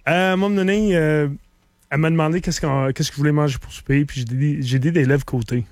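A man's voice: fairly high pitch, steady tone, quick pace, a little mellow, dry recording. A middle-aged man whose first language is English, with a slight English accent, chatting casually on the radio as a beer columnist and explaining things in detail. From English-speaking.

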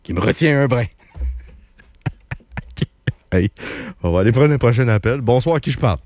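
A man's voice: medium pitch, animated, normal speed, darkish tone, dry recording, studio quality. A man with a Québécois French accent hosting a late-night call-in radio show, joking and laughing often between remarks. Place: radio studio. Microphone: studio microphone.

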